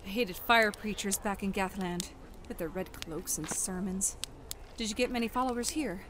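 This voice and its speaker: A woman's voice: medium pitch, moderate pace, fairly bright tone, a little hiss or hum, close to an everyday voice. An actress playing a fiery young elf woman in a fantasy audio drama, with a pointed, challenging manner. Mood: wry. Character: fiery, defiant young elf woman burdened by unwanted power.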